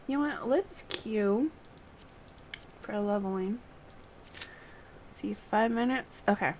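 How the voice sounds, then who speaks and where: A woman's voice: medium pitch, slow pace, a little mellow, a little hiss or hum, poor audio. A woman giving casual livestream commentary while she plays a video game. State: coughing. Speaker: game streamer. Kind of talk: casual livestream gameplay commentary, reading dialogue aloud.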